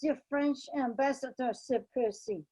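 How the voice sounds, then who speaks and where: A woman's voice: high-pitched, measured pace, dark tone. A woman playing a polite, hesitant manservant in a radio play table read. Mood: deferential, hesitant. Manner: hesitant, deferential.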